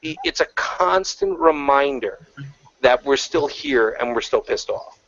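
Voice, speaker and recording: Male panelist, mid-pitched, rambling with many fillers, over muffled call audio. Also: a little slow, fairly bright tone, dry recording. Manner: rambling, heavy on fillers and restarts. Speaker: panel guest. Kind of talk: casual online panel chat. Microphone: video call.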